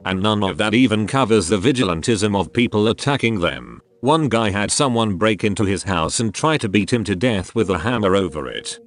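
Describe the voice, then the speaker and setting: Low-voiced man reading forum posts. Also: lively intonation, fairly quick, hardly any pauses. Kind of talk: scripted read-aloud narration. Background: faint background music.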